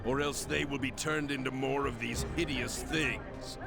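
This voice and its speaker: A man's voice: medium pitch, steady tone, a little slow, creaky. A man playing a suave, menacing crime boss in a video game, formal and coolly condescending in his politeness. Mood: coldly commanding. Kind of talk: video game cutscene acting. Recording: loud music under the voice.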